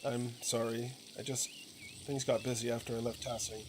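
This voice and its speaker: Guy doing a polite reserved painter in a game, measured, medium pitch, noisy outdoorsy background. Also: level tone, lightly acted. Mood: thoughtful. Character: courteous, reserved male traveling master artist. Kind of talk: reading game dialogue aloud.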